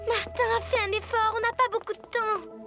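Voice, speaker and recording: Very high, teasing cartoon schoolgirl. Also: steady tone, moderate pace, over music. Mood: urgent. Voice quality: youthful, girlish. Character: teasing, resourceful schoolgirl, bold and quick-witted. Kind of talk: French animated series voice acting.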